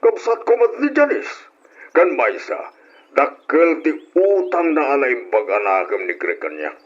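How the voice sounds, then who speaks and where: A man's voice: a little high, big pitch swings, measured pace, muffled recording. A man acting in an old radio drama as a shameless, argumentative, wheedling husband who feels owed by his relatives. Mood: defiant.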